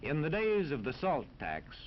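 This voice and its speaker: An American man narrating a scripted 1940s educational film in a formal, authoritative, measured manner. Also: a little high, huge pitch swings. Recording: dull 1940s film soundtrack, faint noise.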